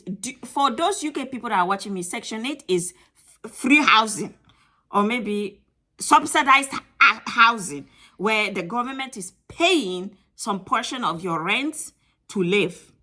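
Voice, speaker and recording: Woman, Ghanaian accent, lively swooping voice, bright tone, emphatic and blunt, talks straight to camera. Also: on the high side. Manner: emphatic and blunt, repeats herself for stress. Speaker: channel host giving travel advice. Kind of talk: casual talk to camera.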